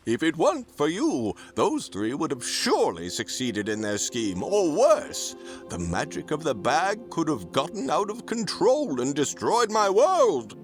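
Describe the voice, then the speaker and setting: A man playing a kindly, forgiving old Santa Claus in an audio drama, speaking in a measured, formal, avuncular way. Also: a little high, wildly swooping pitch, soft background music. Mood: grateful.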